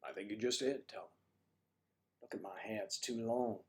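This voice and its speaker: Hoarse older man joking with viewers. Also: on the low side, normal speed. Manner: teasing and flirty, often drops to a soft mutter. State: hoarse, losing his voice. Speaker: livestream host. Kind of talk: casual livestream chat with viewers.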